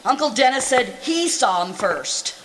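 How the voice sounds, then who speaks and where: A woman's voice: sits high, very animated, measured pace. A woman playing a patient, wry wife who teases in homely terms in an old-style radio comedy. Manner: dry teasing, homely endearments. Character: patient, wry, teasing wife, middle-aged. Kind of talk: 1940s radio comedy acting, live re-creation. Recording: slightly noisy live stage recording.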